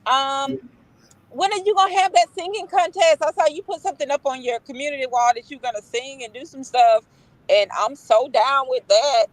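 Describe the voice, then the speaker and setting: A woman's voice: high voice, moderate pace, dry recording, rough recording. A woman in a casual chat on a live stream who is playful, teasing and laughs often. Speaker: guest on a stream.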